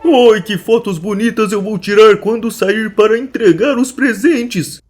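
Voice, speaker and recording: A woman voicing an excitable, exclamatory Santa Claus in a children's cartoon. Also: a little high, measured pace, faint music behind. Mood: delighted, curious. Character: jolly, excitable, easily frightened male Santa Claus.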